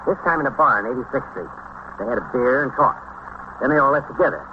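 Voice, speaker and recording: An actor playing a determined detective captain, reporting cases in a clipped, matter-of-fact way in a 1950s radio drama. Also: male voice, mid-range voice, animated, quick pace, lightly acted. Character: determined male safe squad detective captain. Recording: noisy old radio recording, dull band.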